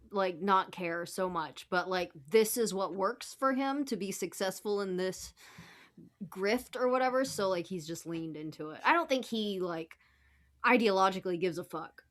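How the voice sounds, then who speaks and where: A woman's voice: mid-range voice, animated, normal speed. A woman with a mid-range voice chats on a podcast panel. She is casual and informal, with "like" sprinkled through her sentences. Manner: informal, peppered with "like". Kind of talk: casual chat.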